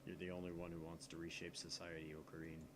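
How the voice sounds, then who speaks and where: Visual novel sidekick, a man acting: deadpan young hacker, dropped pitch, quiet muttering, noisy recording. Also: fast, natural voice. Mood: unimpressed.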